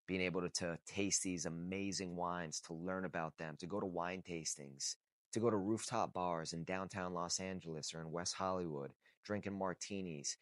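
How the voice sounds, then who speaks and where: A man around thirty with a deep, low voice talks straight to camera in a blunt, casual way. He swears freely and circles back on his points for emphasis. The sound is dry and close, with no room around it. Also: fairly bright tone, no room sound. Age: 30s. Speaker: vlogger. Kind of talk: casual unscripted talk to camera.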